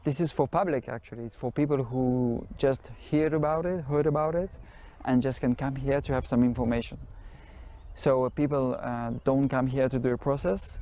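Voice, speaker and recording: A middle-aged man talks in an interview outdoors among trees. His voice is mellow and dark, and he halts often with fillers as he explains things. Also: mid-range voice, fairly even intonation. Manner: halting, many fillers. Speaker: festival organizer. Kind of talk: casual interview answers. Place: outdoors in a forest.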